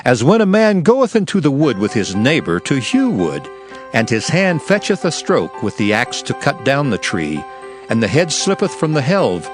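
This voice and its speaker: A man reads scripture as dramatized narration. His mid-range voice is solemn and declamatory, rising and falling widely. Music plays clearly behind him. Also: mid-range voice, sing-song, moderate pace.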